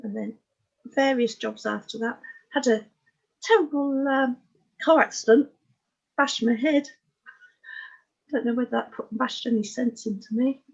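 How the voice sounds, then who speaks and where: Older English woman, sixties, husky voice on slightly dull audio, giving a frank, unpolished personal account as a guest. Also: a little high, a little slow, pauses often. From Oxfordshire, England. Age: 60s. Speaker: interview guest. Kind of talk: candid personal life story in conversation.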